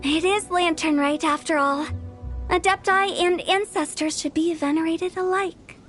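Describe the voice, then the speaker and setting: Casting: mischievous teen girl director, game role, extremely high pitch, chatty and theatrical. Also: fairly even intonation, unhurried, a bit bright, soft background music. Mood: cheerful. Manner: teasing, chatty, slightly theatrical. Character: playful, quirky teenage girl funeral director, inquisitive and theatrical. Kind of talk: anime game voice acting.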